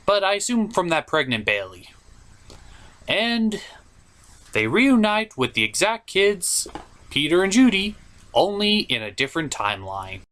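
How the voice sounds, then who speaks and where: A man's voice: high voice, very animated, a little slow, pauses often, a bit bright. A man giving a comedic, scripted movie recap in a theatrical, sarcastic way. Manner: theatrical, sarcastic delivery with mild swearing. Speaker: host of a puppet movie review show.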